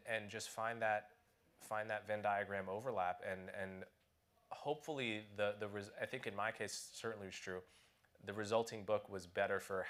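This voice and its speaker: A man speaking as an author on a conference panel, thoughtful and halting, often restarting his sentences. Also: on the low side, fairly bright tone.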